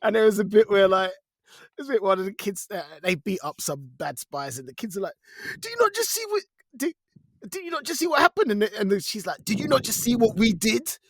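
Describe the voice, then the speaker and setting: A man co-hosting a podcast in casual chat, colloquial and animated when he tells things. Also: sits high, a little fast, no echo. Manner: colloquial, animated retelling with quoted lines. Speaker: podcast co-host.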